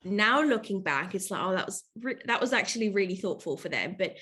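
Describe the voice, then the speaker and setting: A woman's voice: medium pitch, lively intonation, brisk, fairly bright tone. A young woman from London, speaking as a podcast guest in casual interview chat, thoughtful and hesitant with many fillers. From England, London. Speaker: podcast guest, yoga teacher.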